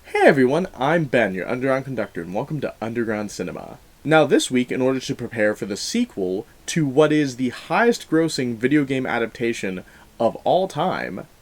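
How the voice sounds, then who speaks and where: A man hosting a film review show, talking in a casual, animated and emphatic conversational way. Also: medium pitch.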